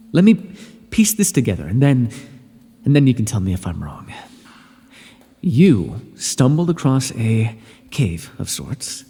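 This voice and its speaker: A man's voice: medium pitch, warm tone, husky, in a large hall, heavily acted. A man playing a haughty, irritable underworld god. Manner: haughty, scolding, dry sarcasm. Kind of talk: ASMR audio roleplay.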